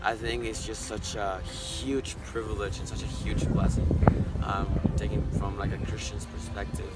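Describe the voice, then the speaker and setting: A man's voice: lowish voice, flat delivery, moderate pace, rough recording. An earnest, reflective eighteen-year-old man from Costa Rica, sharing his story and thinking aloud as he gives a word of encouragement. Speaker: interviewee. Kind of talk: personal testimony, word of encouragement. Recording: loud background music. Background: loud music.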